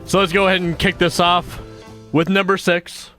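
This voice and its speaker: Bright-voiced upbeat male radio host. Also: sits high, level tone, moderate pace, faint music behind. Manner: upbeat, laughs often, reads chart facts. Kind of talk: casual radio-style countdown chat.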